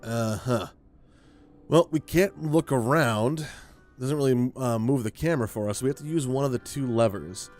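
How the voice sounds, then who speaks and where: A man's voice: medium pitch, normal speed, a little mellow, faint music behind. A man hosting a gaming video with casual, rambling commentary, thinking aloud as he plays. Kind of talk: casual let's play commentary.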